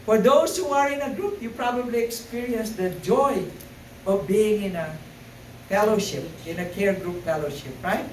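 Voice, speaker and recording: High-voiced preacher in an echoey church. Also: male voice, normal speed, a little hiss or hum. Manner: hesitant with frequent fillers, rising to emphatic repetition. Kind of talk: sermon. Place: church sanctuary. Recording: echoey room, voice from far off.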